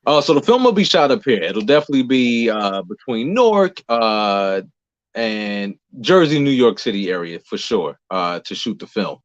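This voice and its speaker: A man's voice: fairly low pitch, very animated, moderate pace. An American man talking casually and explaining things as an interview guest, in a conversational way. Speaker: playwright and producer being interviewed. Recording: roomy echo, dull lo-fi sound.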